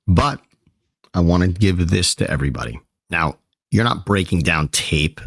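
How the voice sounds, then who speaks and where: A man co-hosting a sports talk show, excitable and emphatic, hyping up what he sees while straining his voice. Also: deep voice, normal speed, vocal fry, dry recording, studio quality. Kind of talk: excited sports analysis chat.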